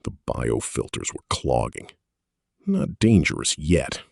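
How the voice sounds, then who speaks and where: A man's voice: a bass voice, big pitch swings, slow, vocal fry, breathy edge, no room sound, studio quality. A man with a Canadian accent reading a first-person story as scripted audiobook narration.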